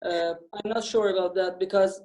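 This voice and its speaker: A man's voice: high voice, fairly even intonation, a little mellow, rough recording. A man with a Persian accent, speaking English carefully and apologetically on a video call. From Iran. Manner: slow, careful, apologetic, many pauses. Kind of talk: casual group video call.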